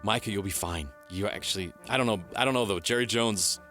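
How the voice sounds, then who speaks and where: A man hosting a sports radio show, briskly reading headlines and teasing his co-host. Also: lowish voice, sharp tone, soft background music.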